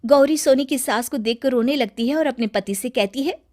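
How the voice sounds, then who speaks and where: High-voiced woman narrating a story. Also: normal speed, darkish tone.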